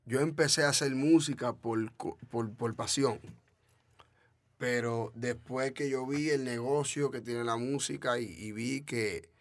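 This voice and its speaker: A man's voice: mid-range voice, steady tone, unhurried, no echo. A man from the Dominican Republic with a Dominican Spanish accent, a trap artist talking casually in an interview, often repeating himself and restarting.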